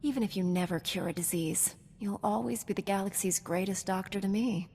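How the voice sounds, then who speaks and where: A woman plays a young knightly heroine in a game cutscene. She is composed and measured, earnest with a dry teasing edge, heard in a long reverb with faint noise. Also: medium pitch, darkish tone, natural voice. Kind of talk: video game cutscene acting.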